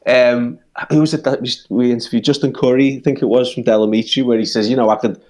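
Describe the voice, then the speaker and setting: A man from the United Kingdom, a songwriter guest in casual conversation, talking in halting bursts full of restarts and growing animated as he makes his point. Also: mid-range voice.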